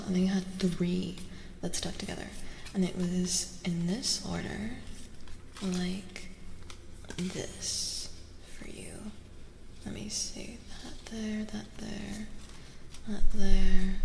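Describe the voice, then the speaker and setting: A woman chatting softly in a casual ASMR livestream, gentle and playful, slipping at times into a breathy half-whisper. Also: medium pitch. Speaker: livestream host reading tarot for chat. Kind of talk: soft-spoken ASMR, casual chat with viewers. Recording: roomy reverberant sound with faint noise.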